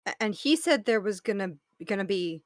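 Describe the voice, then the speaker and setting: A woman's voice: mid-range voice, lively intonation, normal speed, dry recording, lightly acted. A woman playing an affectionate, teasing partner, dry close sound. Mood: puzzled. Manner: conversational, playful, explains with examples. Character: affectionate, teasing wife consoling and humoring her partner. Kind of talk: naturalistic audio drama table read.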